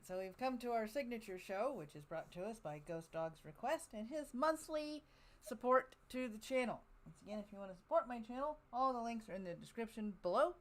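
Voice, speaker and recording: A woman hosting an online show in a casual, chatty style. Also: medium pitch. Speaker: host of online radio listening show.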